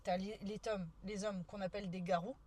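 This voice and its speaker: A young woman chatting informally and slangily with live viewers, soft-spoken by nature. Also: medium pitch, steady tone, brisk. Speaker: streamer, travel vlogger. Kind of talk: casual live chat with viewers.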